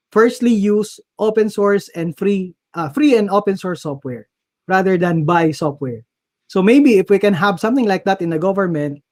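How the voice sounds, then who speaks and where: Filipino guy w a high voice, lots of uhs, taglish, on a video call. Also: a little mellow. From Philippines. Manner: hesitant, many fillers, mixes English and Tagalog. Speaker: guest speaker. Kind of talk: webinar Q&A answers.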